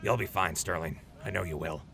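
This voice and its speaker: An unflustered, slightly crafty older hand of a wasteland crew in a radio drama. The voice is deep and gravelly, with a folksy, easy delivery over a music bed. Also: male voice, a little fast, pushed and bright, full character voice. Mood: reassuring. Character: easygoing, wily adult man leading wasteland crew. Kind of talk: post-apocalyptic audio drama acting.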